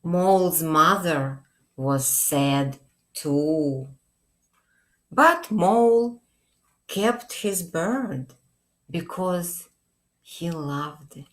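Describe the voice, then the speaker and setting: A middle-aged woman reading a picture book aloud for young children, gently and expressively, pausing to ask questions. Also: deep voice. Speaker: children's librarian. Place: small indoor room.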